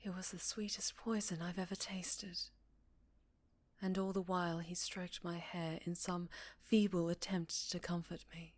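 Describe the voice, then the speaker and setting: An actress plays a sorrowful, haunted vampire woman with a Southern accent, recounting her tale in an intimate ASMR roleplay. Her voice is lowish and very unhurried, often sinking into breathy whispers. The sound is close and dry. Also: little pitch movement, no room sound. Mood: haunted, fearful.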